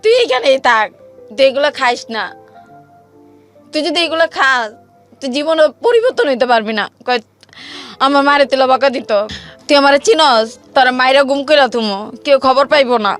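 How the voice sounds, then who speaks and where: Bangladeshi woman, high-pitched, emotional first-person storyteller in everyday colloquial speech, light music underneath. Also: lively intonation, normal speed, long pauses, a bit bright. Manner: plain colloquial speech, reenacting quoted exchanges. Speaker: guest telling her life story.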